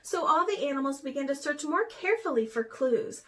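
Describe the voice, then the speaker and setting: A woman, a teacher, reading a children's storybook aloud in an expressive way. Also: sits high, fairly quick.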